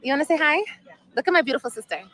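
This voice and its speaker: A woman's voice: high voice, animated, rapid-fire, sharp tone. A woman in her thirties chatting casually on a livestream outdoors at sunset, emotional and rambling, repeating herself often. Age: 30s. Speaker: vlogger.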